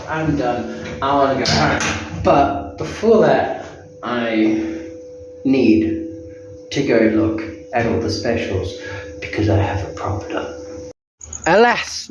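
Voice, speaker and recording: A man narrating his own vlog in a chatty, self-mocking way. His fairly high voice jumps around a lot in pitch. A faint hiss sits under the handheld phone audio. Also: a little mellow, low-quality recording. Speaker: homesteading vlogger.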